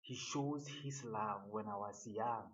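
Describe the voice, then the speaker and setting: A man giving an earnest motivational monologue, halting and often repeating his words. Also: lowish voice, muffled recording.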